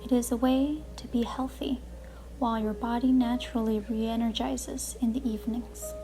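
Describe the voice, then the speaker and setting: A woman hosting a guided relaxation session, speaking in a calm, gentle, deliberate way with soothing narration. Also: on the high side. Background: music playing behind the voice.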